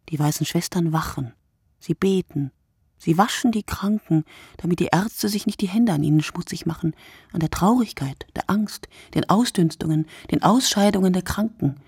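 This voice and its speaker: A woman playing a weary, sleepless night thinker in a literary radio play, speaking in measured, contemplative fragments with a hushed tone. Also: fairly low pitch, breathy edge, dry recording, clean studio sound. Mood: hushed, intent. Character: weary, sleepless woman narrating her night-watch thoughts.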